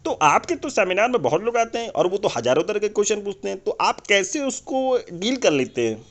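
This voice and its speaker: A man's voice: high voice, sing-song, brisk. A man playing a nosy, placating caller in a comedy phone-call sketch, exclaiming and asking inquisitive questions. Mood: curious. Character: nosy, placating male caller interviewing a motivational speaker.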